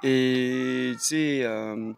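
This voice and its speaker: A man answering street interview questions, soft-spoken and polite, thanking the interviewer often. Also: mid-range voice, fairly even intonation, drawn-out words, slight background noise. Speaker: interviewee, passer-by. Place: outdoors on a street.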